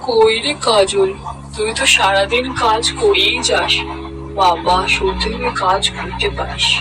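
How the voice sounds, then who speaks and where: A woman's voice: medium pitch, close to an everyday voice. A woman voicing a jealous, scheming middle-aged village neighbour in a cartoon, with a gossipy, insinuating way of talking. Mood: falsely sweet, goading. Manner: gossipy, insinuating, drawn-out taunting. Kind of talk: cartoon voice acting. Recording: distant sound under loud music.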